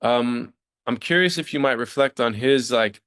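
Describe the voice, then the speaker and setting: A man's voice: medium pitch, normal speed, a bit bright, clear, resonant tone. A man interviewing a guest, putting thoughtful questions in a tentative, wordy way.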